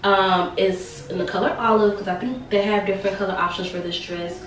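A young woman in her twenties chatting casually through a clothing try-on, her voice a little raspy from a sore throat, repeating words for emphasis. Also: medium pitch, steady tone, a little fast. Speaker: fashion vlogger. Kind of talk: casual try-on haul chat. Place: small bright bedroom. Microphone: camera mic a few steps away. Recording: roomy sound from a distant camera.

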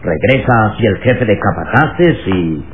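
A man's voice: on the low side, animated, measured pace. A man narrating an old radio serial in a dramatic style. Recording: tinny, very noisy old radio recording.

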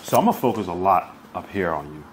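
Man, forties, lowish voice, casual therapist, short breathing cues, clearly noisy background. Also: animated, quick pace. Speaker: bodywork therapist. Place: treatment studio, indoors.

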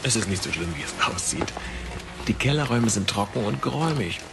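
Guy acting a cheeky young fella in an audio drama, protective, super animated, music behind. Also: on the low side, fairly quick, creaky. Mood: reassuring.

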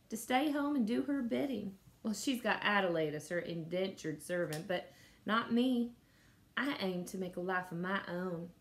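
A woman in her thirties reading a novel aloud expressively, like a teacher telling a story. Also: mid-range voice. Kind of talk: expressive audiobook-style read-aloud of a novel. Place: small indoor room at home. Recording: noticeable room noise.

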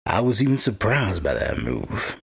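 A man playing a patient, sinister undead wight who gloats as he narrates a dark fantasy audio drama in a gravelly, husky voice. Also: on the low side, sing-song, normal speed, exaggerated voice. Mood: smug, gloating.

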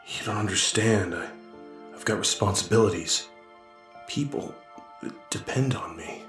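A guilt-ridden ordinary guy in a horror audio drama. He speaks in a slightly low, hesitant, subdued voice with music behind him. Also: a little slow, fairly bright tone. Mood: evasive, guilty. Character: Doug, conflicted, evasive, guilt-ridden ordinary man.

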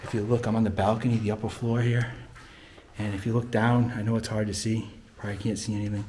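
Flat-toned, low-voiced man on noisy audio. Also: fast. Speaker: host of an urban exploring video. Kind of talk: casual narrated exploring vlog, addressing viewers. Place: inside an abandoned mausoleum at night. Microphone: handheld phone. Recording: noisy handheld phone recording.

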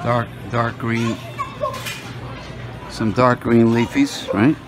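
A man's voice: mid-range voice, fairly even intonation, slow, music in the background. A man filming himself at a buffet restaurant, musing aloud about the food with many fillers, recorded on a handheld phone. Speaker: food vlogger. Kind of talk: casual narration while filming. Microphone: phone camera held while walking.

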